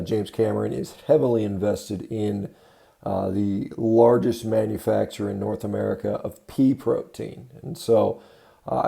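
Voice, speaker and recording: A man giving unscripted critical commentary as a host, matter-of-fact and halting, often correcting himself. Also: lowish voice, vocal fry, mellow. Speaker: host of a diet commentary video.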